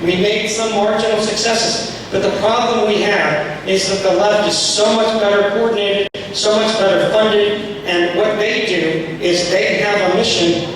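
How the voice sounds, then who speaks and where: Hoarse, fervent man, fairly high voice, heard from afar in a booming, noisy hall. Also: steady tone, measured pace, a bit bright. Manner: fervent, rallying, asks the room questions. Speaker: speaker at a political group meeting. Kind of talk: impassioned meeting speech. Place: meeting hall. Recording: echoey hall from far off, noisy.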